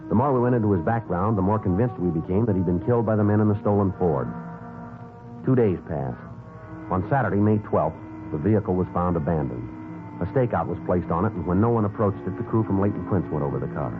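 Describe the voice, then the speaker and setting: A man with an American accent acting a terse, businesslike police detective in a 1950s radio drama, in clipped deadpan delivery. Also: sits low, fast. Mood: matter-of-fact. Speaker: lead actor and narrator of a police radio series. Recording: narrow-band 1950s radio recording with music.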